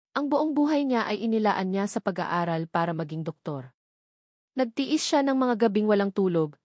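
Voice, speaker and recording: A woman's voice: mid-range voice, darkish tone, no echo, clean studio sound. A woman with a Filipino accent reads a scripted love story as a dramatic narrator.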